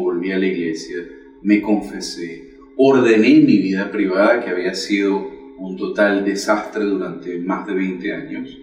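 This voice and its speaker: A man in his forties gives an earnest, measured account of his faith as a guest. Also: fairly low pitch, a little mellow, echoey room, recorded from a distance, low-quality recording. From Venezuela. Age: 40s. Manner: earnest, measured storytelling with pauses. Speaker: catechist guest. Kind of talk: personal faith testimony.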